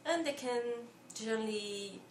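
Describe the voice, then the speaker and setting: A young woman from Belgium explains things calmly and carefully, pausing often to pick her words. She sounds like she is in a roomy, echoey space. A faint hiss sits under her voice. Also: fairly high pitch, distant mic. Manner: thoughtful, measured, with frequent pauses and fillers. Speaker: interviewee, volunteer. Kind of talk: explanatory interview answers. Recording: echoey room, faint background noise.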